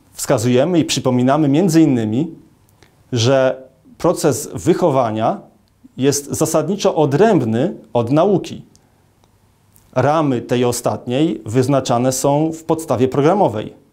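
A man in his thirties, a lawyer, giving a formal statement to camera in an office, speaking in a measured way with frequent hesitation fillers. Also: medium pitch, dark tone. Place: indoor office with large arched window.

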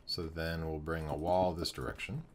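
Young male streamer, very low voice turning hoarse, casual think-aloud commentary at a desk mic, light keyboard noise. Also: fairly even intonation, normal speed. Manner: thinking aloud while building, greeting chat viewers. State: losing his voice. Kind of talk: live gameplay commentary.

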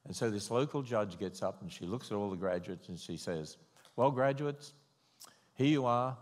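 An older Australian man delivers a sermon from a church podium, his fairly low voice carrying a long hall reverb. He tells stories wryly and lifts his voice when mimicking others. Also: normal speed. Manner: wry, animated storyteller, mock-quoting others in raised voice. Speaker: conference speaker. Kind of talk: sermon with storytelling. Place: large church auditorium. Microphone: podium microphone.

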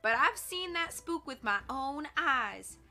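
A woman's voice: high-pitched, expressive, slow pace, bright, forceful tone, soft background music, voice pushed up, exaggerated voice. A woman voicing a superstitious ranch cook woman, folksy and emphatic. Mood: fearful.

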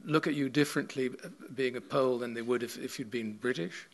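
An older man interviewing a guest onstage, asking long, meandering questions full of pauses and fillers. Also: mid-range voice. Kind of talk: onstage festival conversation. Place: theatre stage with audience. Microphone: table mic on a stand.

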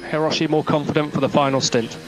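A man working as a race engineer, giving clipped, calm, repeated instructions over team radio. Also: a little high, fast talker. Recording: noisy motor racing radio, engine noise. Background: car engine noise.

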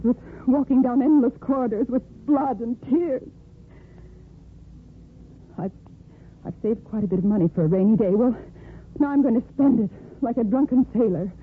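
An actress playing an exhausted, guilt-ridden young surgical nurse in a 1950s radio soap, halting and earnest. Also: female voice, fairly high pitch, breathy edge. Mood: bitter, resolute. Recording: muffled, noisy 1950s radio recording.

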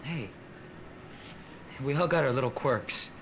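An actor playing an awkward, easygoing man in a sitcom, with a casual, hesitant, deadpan way of talking. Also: medium pitch, slow pace. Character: awkward, easygoing man flirting with a homeless woman. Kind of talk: sitcom acting. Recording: loud background noise, ambience.

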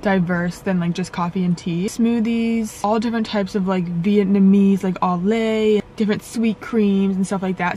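A woman's voice: medium pitch, darkish tone, slight background noise. A young woman in a parked car, talking casually to a dashboard camera while reviewing coffee shops, with lots of filler words. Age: young adult. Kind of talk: casual vlog chat.

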